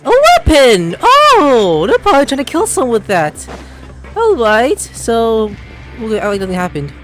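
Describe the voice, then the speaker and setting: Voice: mid-range voice, wildly swooping pitch, moderate pace, a bit bright. Gamer doing a let's play, mid-to-light voice swinging all over, slangy and playful, game music under. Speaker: gaming video creator. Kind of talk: casual let's play commentary.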